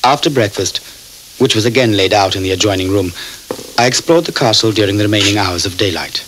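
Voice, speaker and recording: A man's voice: lively intonation, a little fast, fairly bright tone, slight background noise, poor audio. An actor playing an anxious, educated young Englishman held prisoner, speaking formally and precisely in a radio drama. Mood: uneasy, reflective. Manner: precise, formal, highly strung. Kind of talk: radio drama acting, first-person journal narration.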